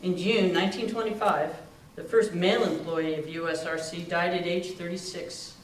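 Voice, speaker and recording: A man's voice: high-pitched, steady tone, moderate pace, recorded from a distance, lightly acted. Sober, methodical doctor played by a male reader, stage monologue recorded in an echoey room with faint noise. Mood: grave, factual. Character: sober, methodical male county medical examiner. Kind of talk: readers theater narration in character.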